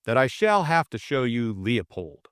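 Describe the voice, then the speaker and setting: A man voicing a jaunty young English gentleman adventurer, flippant and drily boastful in a mock-formal way, for an audiobook. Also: medium pitch, sing-song, unhurried, clean tone, dry recording, clean studio sound. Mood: exasperated.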